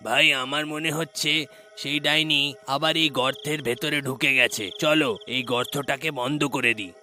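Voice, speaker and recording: A man's voice: fairly high pitch, fairly even intonation, a little slow, bright, forceful tone, faint music behind. A man voicing an alarmed, insistent villager in a cartoon horror story. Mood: resolute. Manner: urgent, insistent. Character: alarmed village man urging drastic action.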